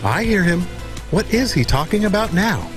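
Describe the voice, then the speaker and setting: Loyal AI helper, polite, innocent, somewhat high voice, animated intonation, game dialogue, light music. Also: male voice, moderate pace. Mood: puzzled. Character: earnest male AI companion, innocent and loyal.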